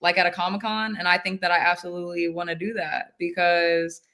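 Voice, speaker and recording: Bright-voiced young woman hosting a stream. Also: on the low side, flat delivery, fairly quick. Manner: talkative, steering the conversation. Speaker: stream host. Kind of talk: casual livestream panel chat.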